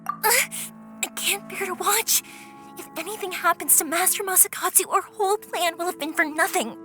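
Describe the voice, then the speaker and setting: A woman's voice: very high voice, moderate pace, sharp tone. A woman voicing a cheerful, spirited young fireworks maker in an anime game, friendly and brave, with a breezy, colloquial manner. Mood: distressed. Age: young adult. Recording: music behind.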